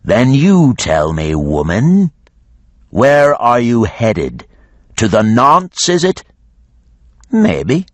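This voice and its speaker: A man voicing a huge menacing dragon that speaks with slow, falsely courteous cruelty in an audiobook. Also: mid-range voice, wildly swooping pitch, dry recording, pitched above the performer's own voice, exaggerated voice. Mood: coaxing. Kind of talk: audiobook character voice.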